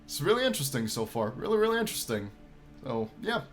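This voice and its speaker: A man's voice: medium pitch, very animated, fast talker, soft background music. A man hosting a gaming video, reading a visual novel aloud and commenting casually in a playful, storytelling way.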